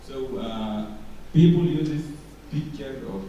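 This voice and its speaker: Slow, dark-voiced man in echoey hall. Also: medium pitch, lo-fi sound, a little hiss or hum. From Sudan. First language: not English. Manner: hesitant, many filler sounds. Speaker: audience member asking a question. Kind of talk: halting question from the floor. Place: large conference hall. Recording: echoey hall, mic far off.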